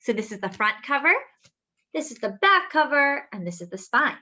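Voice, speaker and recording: Lady doing story time for little kids, high bright voice, really expressive, bit lo-fi. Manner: expressive, sing-song, drawn-out phrasing aimed at small children. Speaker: children's story-time host reading a picture book aloud. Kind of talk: read-aloud storytelling for young children, with asides and questions.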